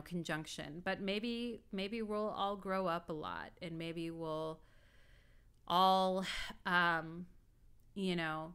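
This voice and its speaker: A woman's voice: low voice, a bit bright, smooth. A woman in her thirties, an astrologer and tarot reader, talking casually and reflectively to her viewers with lots of "like" and "you know", recorded indoors at home on a webcam. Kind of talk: casual video talk.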